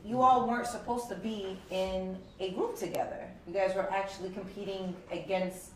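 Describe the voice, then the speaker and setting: A woman's voice: mid-range voice, steady tone, normal speed, a little hiss or hum. Playful lady host, sounds far off and echoey w a crowd around. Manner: bubbly, playful. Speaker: interview host. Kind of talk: casual interview.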